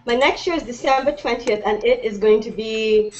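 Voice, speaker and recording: A woman's voice: a little high, moderate pace, muffled recording. Chatty woman cooking on a noisy webcam. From Trinidad and Tobago. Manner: chatty, laughing, narrating her own steps. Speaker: cooking show host. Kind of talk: live cooking demonstration chat. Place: home kitchen. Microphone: webcam on a video call.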